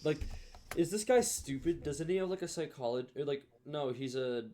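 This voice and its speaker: A man's voice: on the high side, normal speed, dark tone. A man hosting a reaction video with casual, rambling, emphatic commentary.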